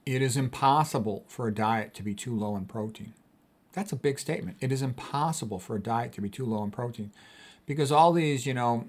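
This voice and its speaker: A man lectures on health in an informal, explanatory style. His voice sits at an average male pitch. He teaches as he talks, breaking down technical vocabulary and dropping in conversational asides. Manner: didactic, spells out and defines technical terms, conversational asides. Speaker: doctor presenting a health lecture. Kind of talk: informal explanatory medical lecture, reading quotes and commenting.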